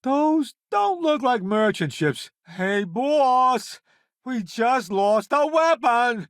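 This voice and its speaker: A man's voice: extremely high pitch, lively intonation, slow pace, dry recording, studio quality, heavily acted. A man voicing a vain, wisecracking, cowardly food-columnist sidekick in a space video game, with a breezy, theatrical, boastful delivery. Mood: urgent, alarmed. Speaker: companion character in a space game. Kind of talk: animated video game voice acting.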